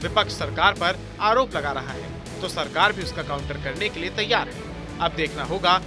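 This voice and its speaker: A man's voice: a little high, normal speed. A man reading a scripted news report as the narrator. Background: music bed.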